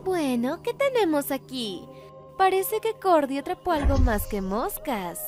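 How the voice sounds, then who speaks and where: A woman's voice: sits high, very animated, a little slow, darkish tone, faint music behind. A woman voicing a taunting, menacing female monster bully in a cartoon dub, with Latin American Spanish. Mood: gloating.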